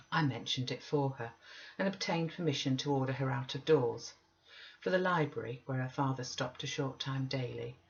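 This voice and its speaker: A woman's voice: rumbling low, dull audio. A woman narrating a literary audiobook from a script. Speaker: audiobook reader.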